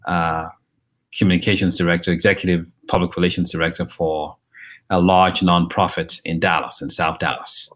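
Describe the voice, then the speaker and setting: A man in his fifties from Nigeria, a journalist and professor giving an interview, talking in a measured way with frequent ums and restarts. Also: deep voice, flat delivery, a bit bright. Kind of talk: conversational interview.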